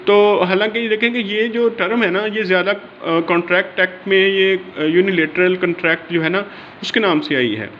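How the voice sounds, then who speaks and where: A man with a Pakistani accent giving an informal exam-prep law lecture in an explanatory way, slowing haltingly over formal English wording. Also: high-pitched. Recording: dull lo-fi sound, faint background noise.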